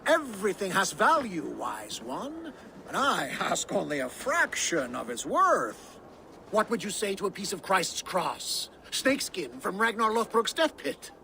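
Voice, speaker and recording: A sly, cowardly merchant with a very high, bright voice, full of wheedling patter and begging. It is a video game cutscene with faint background noise. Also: male voice, wildly swooping pitch, measured pace, gravelly, full character voice. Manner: wheedling, pleading salesman patter. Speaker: merchant character.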